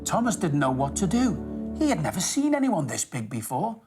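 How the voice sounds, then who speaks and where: Guy reading a kids story, really lively up and down voice, talks right to the little listeners, music behind. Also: fairly high pitch, a little fast. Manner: expressive, addressing young listeners directly. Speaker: storytelling host of a children's show, station worker persona. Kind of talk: children's story narration.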